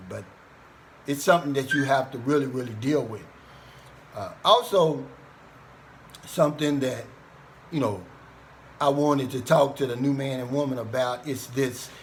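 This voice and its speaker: A man's voice: a little high, slight background noise. A middle-aged American man talking casually to his viewers in a folksy, earnest, plain-spoken way. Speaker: vlogger.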